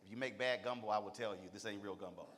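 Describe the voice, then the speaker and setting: A man's voice: on the low side, fast, fairly bright tone. Joking man in an echoey hall. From Texas. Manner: loose, teasing, drawling Southern talk. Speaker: panel moderator and CEO. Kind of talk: joking panel moderation. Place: stage in a large hall. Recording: reverberant hall sound.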